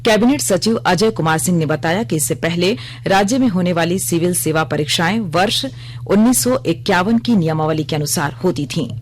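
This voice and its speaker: Low-pitched woman reading radio news. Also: a little hiss or hum. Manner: formal, measured delivery. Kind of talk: scripted news bulletin.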